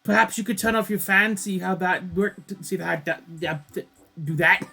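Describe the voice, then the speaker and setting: A woman's voice: medium pitch, normal speed, gravelly, bright, forceful tone, husky, faint music behind. A woman running a tabletop game as game master, narrating in a playful, theatrical way.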